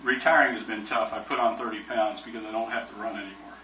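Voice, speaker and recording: An older American man spins folksy, joking stories in a bright, forceful voice. He sounds far from the mic in a roomy museum hall, and the recording is dull and muffled. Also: on the low side, steady tone, fast talker, slight background noise. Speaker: retired army helicopter pilot speaker. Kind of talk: casual museum talk with Q&A.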